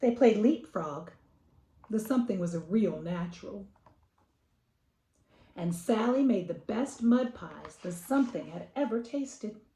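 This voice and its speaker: A middle-aged American woman hosting a children's picture-book readaloud, talking casually and reading with expressive emphasis. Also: mid-range voice, a little slow, long pauses, echoey room, rough recording.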